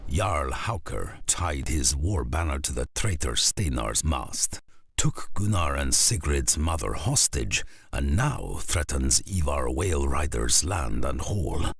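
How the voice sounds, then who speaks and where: Very deep, gravelly saga narrator. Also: male voice, big pitch swings, dry recording, full character voice. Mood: grave, ominous. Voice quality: gravelly, husky. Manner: portentous, slow storytelling. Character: ominous saga-telling Norse narrator, grave and fateful. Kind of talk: dramatic scripted narration.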